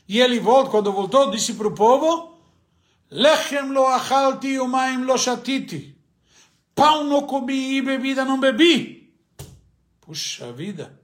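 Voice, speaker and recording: A man's voice: high-pitched, fairly bright tone. A man with an Argentine accent, a native Spanish speaker, gives a religious lesson, asking and answering his own questions with frequent hesitations. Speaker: rabbi teaching the weekly Torah portion. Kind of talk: religious teaching talk.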